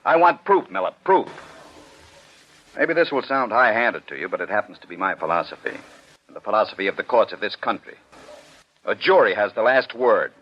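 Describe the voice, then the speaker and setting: An actor playing a stern, skeptical commissioner in a 1940s radio drama, sarcastic and high-handed, full of pointed questions. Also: male voice, lively intonation, fairly quick. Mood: firm, sardonic. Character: stern, skeptical male commissioner, unyielding defender of jury verdicts. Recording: muffled vintage 1940s radio broadcast.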